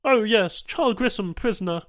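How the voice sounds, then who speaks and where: A man's voice: high voice, moderate pace, fairly bright tone, no echo, clean studio sound, pitched above the performer's own voice. A man voicing a matter-of-fact alien technician in a science fiction audiobook, speaking emphatically in clipped, stilted broken phrases.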